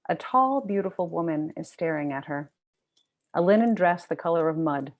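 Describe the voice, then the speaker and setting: Low-voiced woman author with creaky edge. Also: lively intonation, moderate pace. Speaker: debut novelist reading from own book. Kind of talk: literary reading of own fiction.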